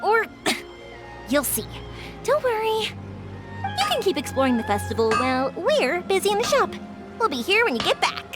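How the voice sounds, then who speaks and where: An actress playing an upbeat, helpful young woman who makes fireworks in a video game, with a chatty, expressive delivery. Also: very high voice, moderate pace, long pauses. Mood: playful. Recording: music behind the voice.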